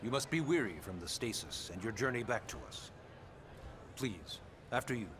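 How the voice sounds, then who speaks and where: An actor playing a formal, courteous middle-aged government secretary with an authoritative manner in a video game. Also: male voice, mid-range voice, lively intonation, moderate pace, a bit bright, close to an everyday voice. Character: formal, commanding male government secretary and former captain. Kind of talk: video game cutscene voice acting. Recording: clear background ambient noise.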